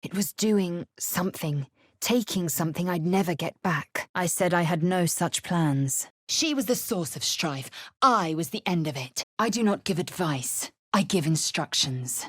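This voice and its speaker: Husky, haughty alien warrior woman. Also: lowish voice, expressive, a little slow, no room sound, clean studio sound. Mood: imperious, scornful. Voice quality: husky, raspy. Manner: clipped, imperious, disdainful, formal diction. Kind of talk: video game character acting.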